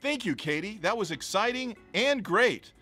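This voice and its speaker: A man's voice: high voice, animated, normal speed, sharp tone, breathy edge. A man hosting an educational show, delivering a scripted introduction and sign-off. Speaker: show host. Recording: faint music behind.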